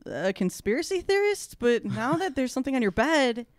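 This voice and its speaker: A woman's voice: sits high, very animated, moderate pace, dry recording, clean studio sound. A woman playing a tabletop game, talking casually and conversationally in character.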